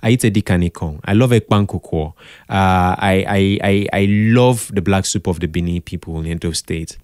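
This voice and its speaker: A Nigerian man with a deep voice gives relaxed, conversational interview answers. He is expressive, drops into Pidgin and repeats phrases for emphasis. The sound is dry, with little room around it. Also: moderate pace, smooth, dry recording. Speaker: podcast guest, radio drama producer. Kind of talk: casual interview chat.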